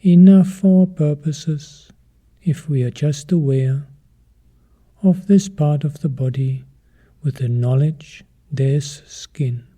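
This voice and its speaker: A man leading a guided meditation as a teacher, calm and measured, in short phrases with pauses between them. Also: on the high side, breathy edge.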